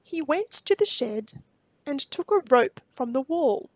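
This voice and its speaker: A woman reading a children's storybook aloud expressively, with deliberate phrasing and dramatic emphasis. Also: sits high, very animated, darkish tone, no room sound.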